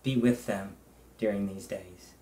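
A middle-aged man, a pastor, leading a prayer in a measured, reverent way, in short phrases with long pauses. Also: fairly low pitch, fairly even intonation, dark tone, a little hiss or hum. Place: indoors, small room with bare wall. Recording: echoey room.

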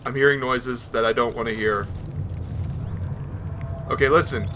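Bright-voiced man doing nervous game commentary. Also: mid-range voice, steady tone, unhurried, a little hiss or hum. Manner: talks to himself, nervous rambling. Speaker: gaming video host. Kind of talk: casual let's play commentary.

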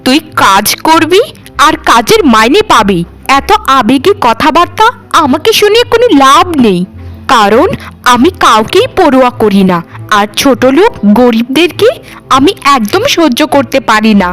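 A woman's voice: sits high, very animated, normal speed, fairly bright tone, soft background music. A woman voicing a haughty rich mistress. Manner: scornful, commanding. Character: haughty rich mistress, later sick and humbled. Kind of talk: cartoon voice acting.

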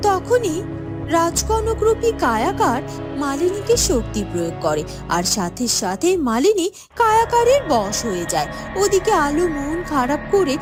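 High, lively woman narrator. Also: moderate pace. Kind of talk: scripted storybook narration. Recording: music under the voice.

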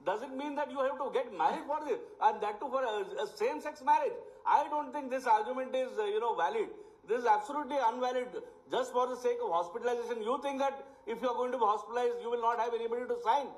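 A man's voice: very high voice, quick pace, few pauses, poor audio. A man arguing insistently and dismissively as a spokesperson in a televised debate. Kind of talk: argumentative TV debate.

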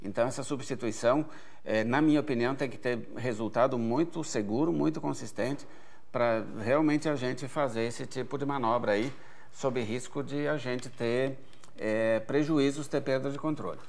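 A man's voice: mid-range voice, moderate pace, vocal fry. A man in his forties from Brazil, answering viewer questions as an agronomy researcher in a careful, explanatory way with many hesitation fillers, recorded at an event stage. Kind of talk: expert Q&A answers.

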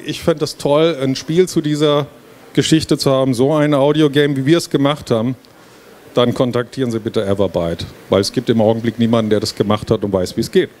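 A man's voice: a little high, darkish tone. A middle-aged man giving an informal, explanatory presentation into a handheld microphone on a stage. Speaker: game developer presenting. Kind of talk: presentation to an audience. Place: stage at a book fair hall. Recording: clear background noise, fair ambience. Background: trade fair hall ambience.

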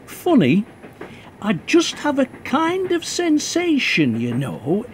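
An actor playing a stubborn, nostalgic football supporter in a radio play, terse and dogged. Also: male voice, very high-pitched, wildly swooping pitch, takes it slowly, slight background noise, full character voice. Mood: matter-of-fact.

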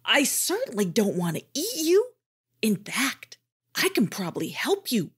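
A timid young male tiger from a spoken storybook, high and excitable, his voice rising and falling a lot, as a woman storyteller puts him on. He talks slowly and gently, eager to please. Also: slightly rough, exaggerated voice. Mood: helpful.

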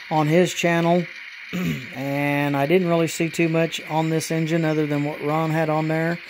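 A man casually narrating as he runs his model trains at home, pausing often with fillers and restarts. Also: a little high, slight background noise, rough recording. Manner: halting, many fillers and restarts, relaxed. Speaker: model railroad hobbyist channel host. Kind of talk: casual running commentary while operating trains. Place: apartment room with model train layout.

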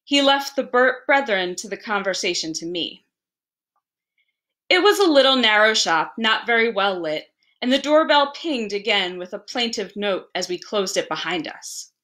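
A woman reading a story aloud as narrator, with deliberate, theatrical phrasing. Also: mid-range voice, very animated, fairly bright tone. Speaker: library staff reader.

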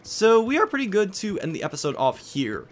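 Animated, high-pitched man hosting a game playthrough. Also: a little fast. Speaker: Let's Play host. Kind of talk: gameplay commentary. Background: game music.

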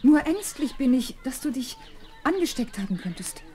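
An actress playing a caring, anxious nanny, brisk and protective, in a 1970s children's radio play. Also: female voice, sits high, very animated, mellow. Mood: worried, caring. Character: anxious, caring nanny fussing over a little girl.

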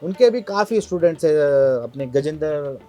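A man's voice: on the high side, lively intonation, moderate pace, darkish tone, faint music behind. A man with a Hyderabadi accent explaining his craft casually in an interview, often circling back over his points. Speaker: tabla maker and shopkeeper, interviewee.

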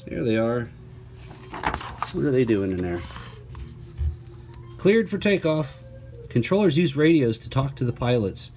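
A man reads a children's picture book aloud, clearly and deliberately, adding chatty asides and playful questions for young listeners. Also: mid-range voice, big pitch swings, a little mellow, faint music behind.

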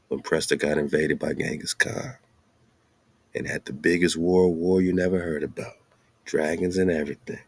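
A man's voice: deep voice, a little mellow, breathy edge, no echo. An American man hosting, reading a text aloud and breaking into colloquial, off-the-cuff commentary with emphatic asides. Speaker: host.

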